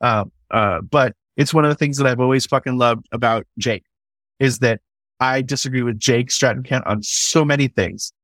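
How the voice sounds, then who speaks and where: A man's voice: mid-range voice, moderate pace, fairly bright tone, dry recording. A man speaking in an enthusiastic, conversational way, swearing casually and often restarting his sentences. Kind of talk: conversational podcast discussion.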